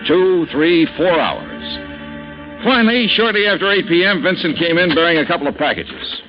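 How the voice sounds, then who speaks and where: A man plays a wry, hard-boiled investigator narrating his own case with dry, wisecracking delivery. His pitch moves in a lively way. Music sits under him on a dull 1950s radio recording. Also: moderate pace, lightly acted. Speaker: narrator and lead. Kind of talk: 1950s radio drama acting.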